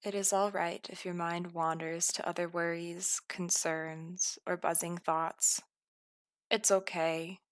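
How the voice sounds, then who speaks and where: A woman guiding a scripted meditation in a calm, soothing, deliberate manner. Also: on the low side, no room sound. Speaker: meditation guide.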